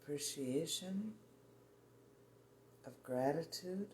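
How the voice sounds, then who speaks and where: A meditation guide speaking soothingly in short, measured phrases with long pauses, offering spiritual affirmations. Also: mid-range voice, animated, a little mellow. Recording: roomy echo, faint background noise.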